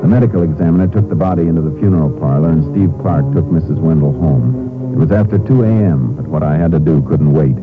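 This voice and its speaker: A man's voice: extremely low pitch, quick pace, loud music behind the voice. An American man playing a firm, terse Texas Ranger in a 1950s radio drama, speaking in a clipped, authoritative way. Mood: grim, steady. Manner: clipped, matter-of-fact, authoritative. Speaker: lead actor of a radio crime series. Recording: muffled, noisy old radio broadcast recording.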